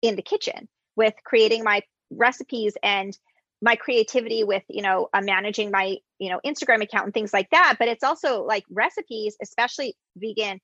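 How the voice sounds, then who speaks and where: Bright woman host on dull dry line. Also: mid-range voice, moderate pace, dry recording. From United States, Greek immigrant parents. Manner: chatty, self-correcting restarts. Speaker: interviewer, recipe creator. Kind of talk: casual interview chat.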